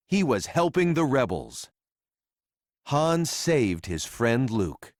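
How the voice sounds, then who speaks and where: A man narrating a children's read-along story in a simple, deliberate storytelling manner for young readers. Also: mid-range voice, dry recording, clean studio sound.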